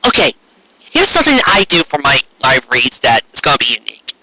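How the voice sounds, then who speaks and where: A man reading a story aloud in an expressive live style, with a casual way of talking. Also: medium pitch, brisk, rough recording. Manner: expressive, acting out character dialogue. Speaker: reader of fan fiction.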